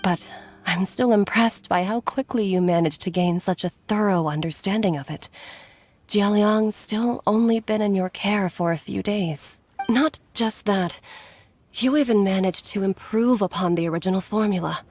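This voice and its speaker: An actress voicing a gentle, regretful middle-aged woman doctor in a video game, speaking in a measured, earnest way with sighs. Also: medium pitch, no echo, lightly acted. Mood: reflective, probing. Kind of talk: dramatic video game voice acting. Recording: background music under the voice.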